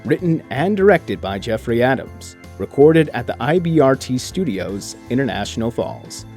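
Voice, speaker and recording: A man's voice: mid-range voice, big pitch swings, normal speed, a little mellow, soft background music. A man reading scripted closing credits as the announcer of a radio drama.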